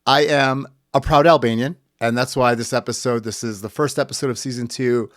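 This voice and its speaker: A man's voice: mid-range voice, steady tone, a little fast, no echo, clean studio sound. A man from the United States hosting a podcast, giving angry, blunt political commentary and swearing freely. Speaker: podcast host.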